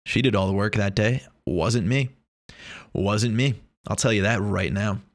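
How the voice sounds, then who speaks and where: A man's voice: lowish voice, unhurried, no echo. Cocky, joking young man, dry close sound. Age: 20s. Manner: joking, boastful, swears a lot. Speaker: vlogger. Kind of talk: casual rambling monologue. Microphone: lav or shotgun mic.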